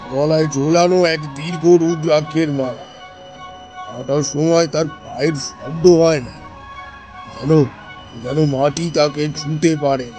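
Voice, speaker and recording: An actor playing a grave elder brother who recounts an ominous village tale with deliberate, dramatic pauses in a horror audio drama. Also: male voice, on the high side, a little mellow, lightly acted. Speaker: storyteller character.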